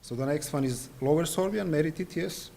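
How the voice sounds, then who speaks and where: A man whose first language is Serbian gives an invited conference lecture, halting with many fillers and restarts. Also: fairly high pitch, vocal fry, over a noisy crowd. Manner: hesitant, frequent fillers and restarts. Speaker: conference presenter. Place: conference hall.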